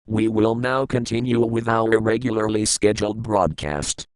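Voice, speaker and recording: A man playing a solemn government emergency broadcast announcer in an analog horror piece, formal and measured. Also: fairly low pitch, steady tone, clear, resonant tone, natural voice. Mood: official.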